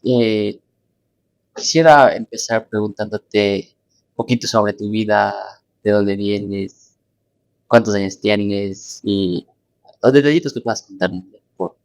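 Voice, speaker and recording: A man's voice: on the low side, takes it slowly, darkish tone, clean tone, dry recording, low-quality recording. A man hosting a podcast, asking questions in a casual, conversational interview style. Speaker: podcast host.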